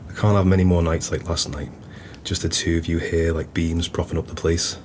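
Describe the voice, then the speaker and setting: Guy playing a grumbly pub landlord, really deep voice, theatrical, audio drama, bit of background noise. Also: level tone, fairly quick, a little mellow, lightly acted. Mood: grumbling. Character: grumbling, florid-tongued male pub landlord. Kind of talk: audio play acting.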